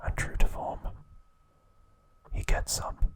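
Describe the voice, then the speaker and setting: A man whispering ASMR trivia narration in a soft, steady voice, with casual asides now and then. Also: slow. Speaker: ASMR creator.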